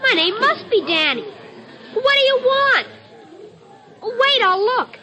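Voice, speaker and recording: A very high, swooping voice for a naive young innocent lost on Earth. The delivery is earnest and exclamatory, in old radio comedy style, with a crowd behind. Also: sing-song, slow pace, pushed and bright, full character voice. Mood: eager, puzzled. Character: naive, confused young amnesiac sent from heaven, wide-eyed and earnest.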